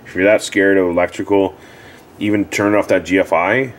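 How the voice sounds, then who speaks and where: A man giving a casual, unscripted how-to as a repair technician, plain-spoken and halting, often repeating himself. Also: low voice, steady tone. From Canada. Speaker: hot tub repair technician giving a tutorial. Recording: clearly audible background noise.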